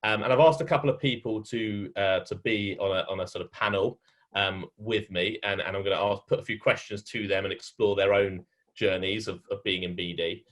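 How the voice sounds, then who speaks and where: A man's voice: on the low side, steady tone, fairly quick, a bit bright. A man hosting an online meet-up and moderating a panel, speaking casually with many ums and restarts. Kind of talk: casual moderating on a video call.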